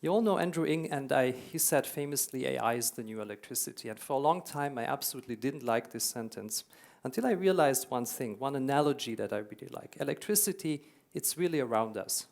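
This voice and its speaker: A man with a German accent delivers a keynote to a packed conference hall. His voice sits at a middling pitch, and he explains ideas earnestly, often doubling a word to stress it. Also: animated, fairly quick, gravelly. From Hungary, raised in Germany. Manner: earnest, explanatory, repeats words for emphasis. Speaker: keynote speaker.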